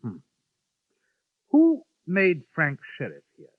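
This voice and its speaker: An actor with an English accent playing a polite, dryly understated gentleman in the Old West, in a 1950s radio drama. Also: on the high side, huge pitch swings, takes it slowly, slightly rough, dry recording, heavily acted. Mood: cool. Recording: dull lo-fi 1950s radio recording.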